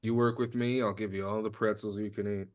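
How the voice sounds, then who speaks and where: A man in a comedy script table read playing an earnest, work-minded agent who speaks plainly and a little haltingly. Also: fairly low pitch, level tone, a little mellow, natural voice. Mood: earnest, wary. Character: earnest, work-minded male secret agent, uneasy partner.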